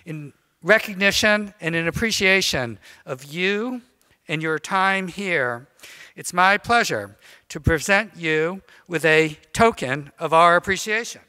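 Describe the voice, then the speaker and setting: Bright, high-voiced older man, formal. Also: lively intonation, unhurried, clear, resonant tone. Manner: formal, warmly teasing. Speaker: college official presenting an award. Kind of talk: ceremonial remarks. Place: large college concert hall. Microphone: podium mic.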